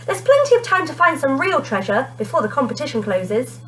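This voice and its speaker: A woman reading the part of a kindly, measured male schoolteacher in a storybook. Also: high voice, sing-song, a little hiss or hum, pitched above the performer's own voice, poor audio. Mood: encouraging.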